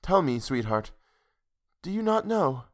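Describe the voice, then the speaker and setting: A man's voice: a little high, very animated, measured pace, pitched above the performer's own voice. A man voicing an ardent, troubled man desperately in love, breathless, impassioned and pleading, in a story reading.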